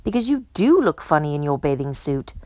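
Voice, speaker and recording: An earnest, friendly male frog from a children's storybook, played by a woman reader. He speaks plainly and kindly, with animated rises and falls in a dry, close recording. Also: low-pitched, fairly quick, no room sound. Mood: amused candor.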